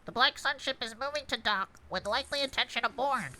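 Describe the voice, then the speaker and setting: A woman's voice: fairly high pitch, brisk, pushed and bright. A woman playing a dutiful droid co-pilot in a script read, giving formal, precise status reports. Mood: formal reporting. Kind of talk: fan script table read, play-by-play reporting. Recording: clear background noise.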